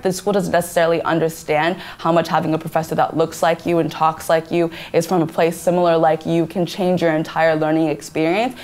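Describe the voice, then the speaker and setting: A woman's voice: low-pitched, darkish tone. A young woman whose first language is Chinese, answering earnestly as a candidate in a student government debate. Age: young adult. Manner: earnest, many restarts and "I think".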